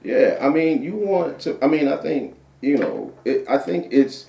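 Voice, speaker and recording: A man with a deep voice, a guest poet chatting casually in an interview, repeating himself and tagging points with "right?". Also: a little slow, creaky, mellow. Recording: roomy sound from a distant mic.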